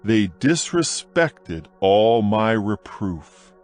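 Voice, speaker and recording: Guy narrating bible audiobook, solemn and dramatic, really slow, some music behind him. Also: on the low side, big pitch swings. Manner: solemn, deliberate, emphatic delivery. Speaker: scripture narrator.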